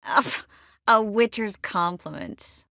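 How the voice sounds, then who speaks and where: Witty, expressive sorceress, dry close sound. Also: female voice, mid-range voice, slow, vocal fry, no echo, exaggerated voice. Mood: wry, teasing. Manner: expressive, teasing and wry. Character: witty, confident adult sorceress, warm but worldly. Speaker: video game voice actress. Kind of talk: dramatic video game dialogue acting.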